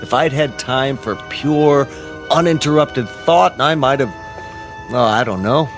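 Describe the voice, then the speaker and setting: A man's voice: mid-range voice, measured pace, faint music behind, close to an everyday voice. A man playing a precise, skeptical, bookish scientist on a space crew in a radio drama. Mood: wistful.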